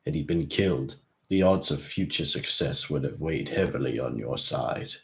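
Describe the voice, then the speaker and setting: A man voicing a mysterious male seer who speaks slowly and solemnly. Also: very deep voice, expressive, vocal fry, pitched below the performer's own voice, exaggerated voice. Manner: slow, solemn.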